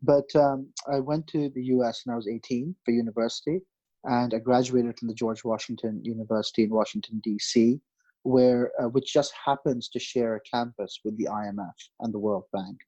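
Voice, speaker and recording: A man in his late thirties, originally from Pakistan, speaks as a market strategist guest on a podcast. His voice is mellow and dark, at a middling pitch. He explains things at length, stopping often to restart a phrase or add a filler. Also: fairly even intonation, fairly quick, dull audio. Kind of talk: podcast interview answers.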